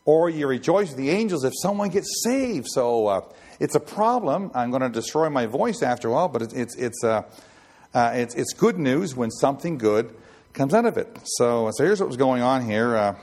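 Raspy man in his sixties preaching. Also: mid-range voice, lively intonation, moderate pace, darkish tone. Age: 60s. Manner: rambling, many fillers and restarts. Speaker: ship chaplain preacher. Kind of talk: informal Bible teaching.

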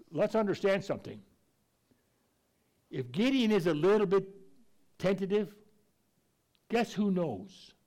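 A man's voice: high voice, darkish tone. A man preaching a conversational Bible teaching, folksy and plainspoken, with an occasional stutter and restarts.

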